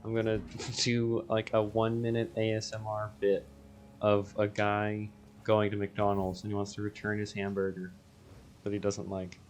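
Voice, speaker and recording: Scratchy, lower-pitched man hosting a game video, rambling and casual. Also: monotone, normal speed. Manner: rambling, self-interrupting. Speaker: gaming video host. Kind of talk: casual gameplay commentary.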